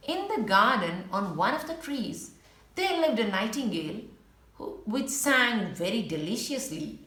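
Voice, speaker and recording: A woman reads a children's storybook aloud with a lively, swooping melody to her voice. She works as a storyteller, adding small asides about the pictures. The recording is roomy and echoing. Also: on the high side. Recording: roomy, echoing indoor sound.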